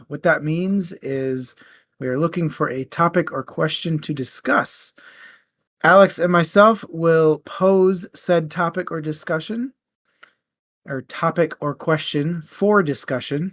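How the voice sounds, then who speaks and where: A man with a slightly high voice hosts a live discussion show about books. He sounds informal and chatty as he explains things to the listeners. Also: a bit bright. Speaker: co-host of a book discussion show. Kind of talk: casual live show hosting.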